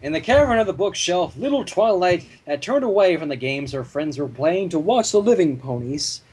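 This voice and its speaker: Male story reader, husky tone, very lively pitch movement, informal and playful with asides. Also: normal speed, poor audio. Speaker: fan fiction reader. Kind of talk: animated story narration with joking asides.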